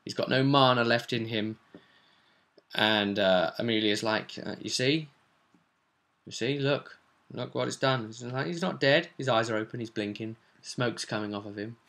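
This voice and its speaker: A man's voice: medium pitch, moderate pace, gravelly, a bit bright. A man chatting casually as a game master, recapping a tabletop role-play session and acting out bits as he goes.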